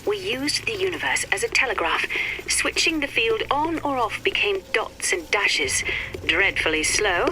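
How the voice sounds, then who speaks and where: An actress playing a cool, erudite, wry and melancholy physicist in a game audio log, speaking in precise, measured, formal phrases. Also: female voice, medium pitch, lightly acted. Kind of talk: scripted dramatic audio-log monologue for a game. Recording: noisy, crackly vintage audio-log recording.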